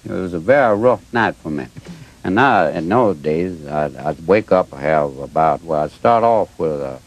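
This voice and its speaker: A man voicing an elderly, boozy male blues singer who rambles slowly through his memories. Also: low-pitched, expressive, voice pushed down, heavily acted. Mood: boozy reminiscing.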